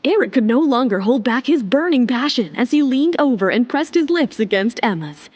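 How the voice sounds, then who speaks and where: A woman's voice: a little high, very animated, normal speed, darkish tone, dry recording, highs cut off. A woman reading scripted, dramatic narration for a serialized romance audio story. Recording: music under the voice.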